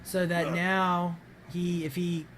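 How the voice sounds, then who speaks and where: A hoarse man with a voice that is not deep, commenting on his card game as he plays and thinking aloud with many ums and restarts. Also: little pitch movement, takes it slowly, noisy background. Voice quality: voice not very deep, sounds high-pitched. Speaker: streamer playing a card game. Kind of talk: casual gameplay commentary.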